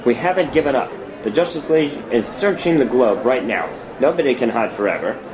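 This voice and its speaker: Guy doing an earnest superhero leader, mid pitched voice, measured and reassuring, screenplay read. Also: animated, a crowd in the background, lightly acted, poor audio. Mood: reassuring, firm. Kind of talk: dramatic screenplay table read.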